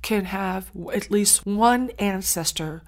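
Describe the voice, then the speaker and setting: Earnest woman, native Gullah speaker. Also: medium pitch. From Saint Helena Island, South Carolina. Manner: earnest, heartfelt, with frequent pauses and restarts. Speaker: guest. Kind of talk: conversational interview storytelling.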